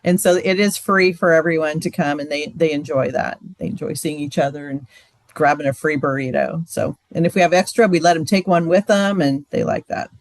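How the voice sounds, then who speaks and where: A woman's voice: low voice, fairly even intonation, fairly quick, dry recording. A middle-aged woman giving a casual, chatty training talk with lots of fillers. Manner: chatty, loose, frequent fillers. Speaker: ministry trainer.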